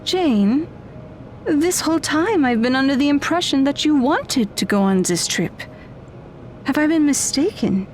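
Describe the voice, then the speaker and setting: A woman's voice: high-pitched, expressive, a little slow, slight background noise. A woman playing a kind, upbeat middle-aged mother in an audio drama. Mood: caring. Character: kind, upbeat widowed mother of a teenage daughter.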